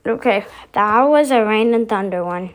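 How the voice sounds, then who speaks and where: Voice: medium pitch, moderate pace, a little hiss or hum. A video host giving casual vlog commentary, rambling and thinking aloud.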